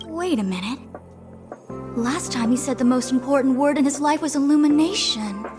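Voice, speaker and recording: A woman's voice: high voice, animated, moderate pace. A woman voicing an attentive, devoted young female fan in a video game. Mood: puzzled. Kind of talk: game character dialogue. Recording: game dialogue with music behind.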